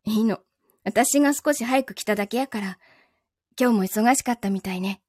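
A woman acts out an understanding, quietly lonely girlfriend in a radio drama. Her fairly high voice stays composed and gentle, with a Kansai accent, recorded close and dry. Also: normal speed, no room sound, close to an everyday voice. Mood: gentle, caring. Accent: Kansai Japanese. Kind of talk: Japanese voice drama acting with inner monologue.

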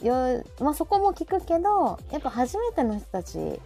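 A woman's voice: high-pitched, sing-song, normal speed, gravelly, warm tone. A woman with a Kansai Japanese accent hosting a live audio show in casual chat, often chiming in with agreement. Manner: frequent backchannel agreement. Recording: faint background music.

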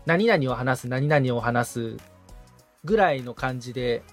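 A man's voice: medium pitch, animated, unhurried, soft background music. A man as a podcast assistant and learner, attentive and polite in conversation with the host. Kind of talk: casual interview-style dialogue with short responses and questions.

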